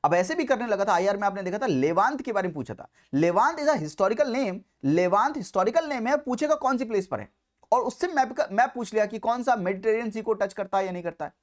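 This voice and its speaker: A man's voice: high-pitched, animated, brisk. A man with an Indian accent teaching as an exam coach, lecturing emphatically and repeating key points in mixed Hindi and English.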